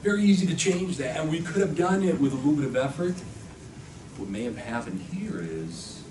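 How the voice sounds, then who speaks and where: A man leads a classroom workshop. He poses question after question and often answers them himself. He sounds far from the microphone, with a roomy echo and steady noise behind him. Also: a little high, brisk. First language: Spanish. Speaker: workshop instructor. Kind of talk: classroom lecture. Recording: echoey room from far off, background noise.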